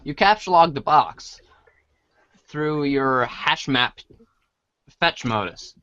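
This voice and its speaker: A man's voice: fairly high pitch, animated, slow pace, pushed and bright, clear, resonant tone, no echo. Male narrator for a comic read-along, informal, prone to stumbles, close dry sound with dull top end. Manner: informal, stumbles while reading. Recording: dry, dull band-limited audio.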